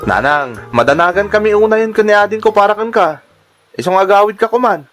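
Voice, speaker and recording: A man playing a dutiful Ilocano son, young, high male voice, earnest, pleading drama delivery. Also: animated, a little fast, fairly bright tone, soft background music. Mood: worried. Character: worried, dutiful grown son urging mother home. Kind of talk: amateur melodramatic drama acting.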